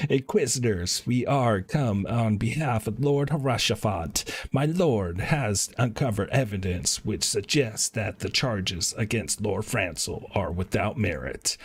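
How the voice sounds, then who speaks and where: A man live-streaming a video game, reading quest dialogue aloud in a halting way and stumbling over words. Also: lowish voice, husky.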